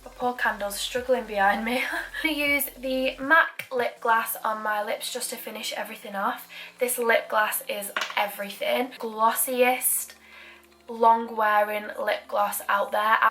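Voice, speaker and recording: A woman's voice: on the high side, fairly even intonation, unhurried, fairly bright tone, soft background music. Chatty young Mancunian woman. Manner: chatty, self-deprecating asides while working. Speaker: beauty vlogger. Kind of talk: chatty makeup tutorial.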